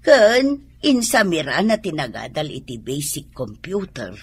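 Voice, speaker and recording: A woman playing a proud, contented wife and mother with a raspy voice and an Ilocano Filipino accent in a radio drama. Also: low-pitched, sing-song, a little slow, exaggerated voice.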